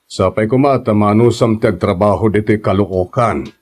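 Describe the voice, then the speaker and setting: A man's voice: fairly low pitch, normal speed, a little mellow, lightly acted. A man playing a kindly, encouraging boss and landlord in an audio drama, with an Ilocano Filipino accent. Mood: welcoming. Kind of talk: radio-style drama acting.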